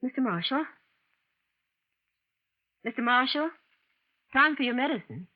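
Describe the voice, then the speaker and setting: Tinny vintage radio, earnest high-voiced woman. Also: lively intonation, measured pace. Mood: concerned. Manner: earnest, pleading, dramatic. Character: anguished, conscientious young woman caregiver torn by love. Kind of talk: 1940s radio melodrama acting. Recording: tinny, noisy old radio recording.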